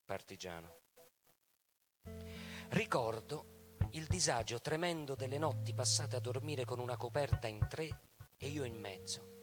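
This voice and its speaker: A man giving a dramatic theatrical recitation of testimonies, in a solemn, measured, declamatory manner. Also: mid-range voice. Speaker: stage performer reading partisan testimonies. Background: music.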